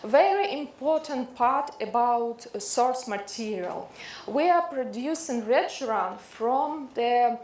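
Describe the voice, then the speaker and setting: Slow, echoey Ukrainian-accented woman lecturing. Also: on the high side, animated, a little mellow. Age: middle-aged. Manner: halting, hesitant non-native phrasing with frequent searching for words. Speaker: dermatologist lecturer. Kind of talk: introductory medical lecture to an audience. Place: indoor lecture room. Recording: echoey room with faint background noise.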